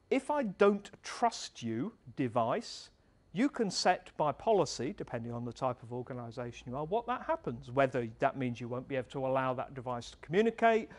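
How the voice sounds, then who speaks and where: Middle-aged male presenter, a touch high-pitched, conversational and earnest on a handheld mic in a large event hall. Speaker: speaker at a tech meetup. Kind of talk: conference talk.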